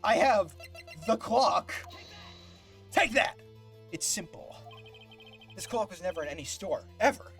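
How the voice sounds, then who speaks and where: A man's voice: high-pitched, lively intonation, measured pace, pauses often, a bit bright, exaggerated voice. A man playing a young, combative defence lawyer in a live video game fandub, with dramatic courtroom declarations. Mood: confident, pointed. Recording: faint music behind the voice.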